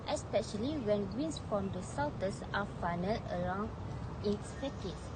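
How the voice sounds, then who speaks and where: A woman giving scripted documentary narration as a presenter. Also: fairly high pitch. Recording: outdoor recording with clear traffic noise. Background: urban outdoor ambience, vehicles.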